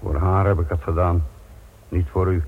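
Deep, husky older police inspector. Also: male voice, little pitch movement, normal speed. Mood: bitter. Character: weary, principled police inspector near retirement. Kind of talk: radio drama acting. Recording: muffled, phone-like old radio recording.

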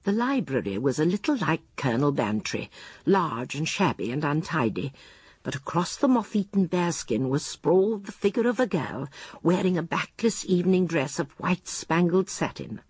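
An actress playing a shrewd, composed elderly English village spinster in a radio drama, precise and genteel. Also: female voice, low-pitched, sing-song, normal speed, a little mellow, husky, no echo, heavily acted. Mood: measured, descriptive. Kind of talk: radio drama acting with narration.

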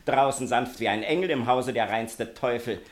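An actor playing an indignant, pompous literary gentleman in a radio drama, accusing with sneering, grandiloquent phrasing. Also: mid-range voice, normal speed, lightly acted. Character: indignant, pompous male man of letters accusing a rival. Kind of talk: theatrical radio drama acting.

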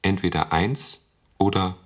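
An actor playing a lonely, childlike sentient computer in a radio drama, speaking in slow, halting, literal phrases. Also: male voice, low-pitched, steady tone. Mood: puzzled, literal.

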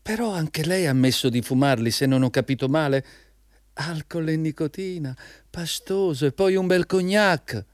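An actor playing an ingratiating, eager job applicant in a theatrical Italian radio drama, effusive and insistent in manner. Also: male voice, a little high, moderate pace, no room sound, studio quality, natural voice. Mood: defensive, submissive.

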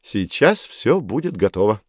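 An actor playing a knowledgeable, patient mentor who explains things fondly to children in an educational audio drama. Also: male voice, fairly low pitch, very animated, unhurried, no echo, studio quality. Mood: instructive. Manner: didactic, addressing children fondly.

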